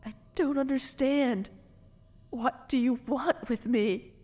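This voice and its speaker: Distraught noblewoman, high voice, slow halting delivery, stammering, frightened yet defiant, audio drama. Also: darkish tone. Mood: bewildered.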